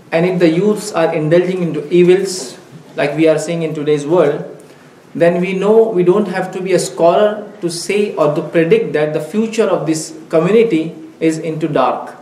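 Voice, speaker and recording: A man's voice: a little high, dark tone. Earnest lecturer, Urdu his first language. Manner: careful, deliberate, earnest. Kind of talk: religious lecture. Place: mosque meeting room. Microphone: headset mic. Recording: echoey room, faint hiss.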